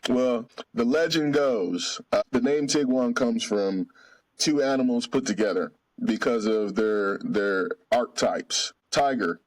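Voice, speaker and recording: A man's voice: mid-range voice, measured pace, poor audio. A man in his forties, born in Germany, a guest on a casual podcast who explains things at length with many fillers. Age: 40s. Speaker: car salesman guest.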